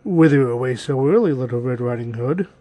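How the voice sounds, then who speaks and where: A man's voice: medium pitch, brisk, warm tone, no room sound, natural voice. A man voicing a crafty, malicious wolf in a storybook tale, with a sly, coaxing manner.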